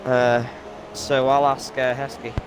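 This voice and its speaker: A man co-commentating a racing game league, a little raspy from a cough and hesitant with fillers. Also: mid-range voice, little pitch movement, slow. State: has a cough, slightly raspy. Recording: noisy game audio behind. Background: racing game engine noise.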